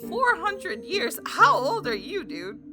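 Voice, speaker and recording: A woman doing a let's play, reading a visual novel aloud in an expressive way and exclaiming as she reacts. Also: very high-pitched, slow, music in the background. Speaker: gaming video creator.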